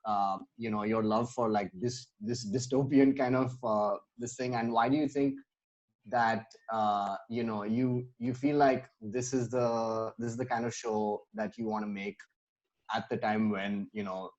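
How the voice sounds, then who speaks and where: A man's voice: on the low side, fairly even intonation, unhurried, darkish tone. A man hosting a casual podcast interview, talking in an enthusiastic, rambling way. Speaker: podcast host interviewing guests. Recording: dull, lo-fi audio.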